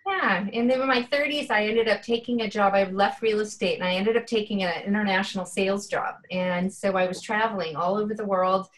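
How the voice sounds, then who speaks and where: A woman's voice: mid-range voice, steady tone, brisk, hardly any pauses, rough recording. A woman in her fifties, a guest in an interview, speaking earnestly and from the heart with many "you know" fillers. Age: 50s. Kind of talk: casual interview chat.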